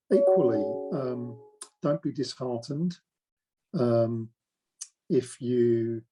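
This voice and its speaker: A man's voice: mid-range voice, warm tone, husky, low-quality recording. A man in his forties who stammers, telling his own story in a hesitant, reflective way with many restarts. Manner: stammers, with repeated words and blocked sounds. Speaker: interviewee. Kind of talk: reflective personal account.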